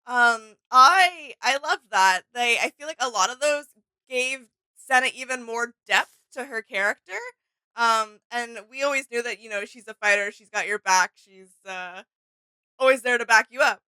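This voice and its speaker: A woman with a bright, somewhat high voice chats casually as a reaction co-host. Her manner is agreeable and supportive. The recording is dry and free of room echo. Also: normal speed, clear, resonant tone. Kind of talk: casual chat reacting to game voice lines.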